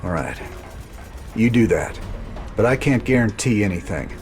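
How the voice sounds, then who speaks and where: A low, gritty rebel commander speaks plainly and firmly, tough and loyal to his crew. Cinematic game music swells behind him. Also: sing-song, moderate pace, a little mellow. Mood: resolute. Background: music score.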